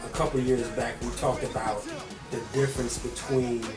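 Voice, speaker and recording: A man's voice: mid-range voice, fairly even intonation, normal speed, roomy sound, recorded from a distance, over music. A man hosting a hip-hop magazine interview, asking questions in an upbeat, hype style. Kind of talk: casual interview.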